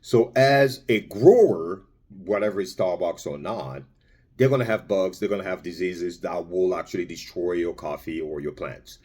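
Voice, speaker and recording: Low-voiced Congolese man rambling casually. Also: expressive, normal speed, darkish tone, slightly rough. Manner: rambling, many restarts and fillers. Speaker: vlogger. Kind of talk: casual spoken commentary.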